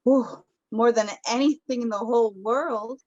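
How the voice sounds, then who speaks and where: An actress playing a naive, starstruck young would-be actress in a radio play, gushing and eager. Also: female voice, a little high, fairly even intonation, moderate pace, warm tone, lo-fi sound, close to an everyday voice. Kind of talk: theatrical radio drama acting.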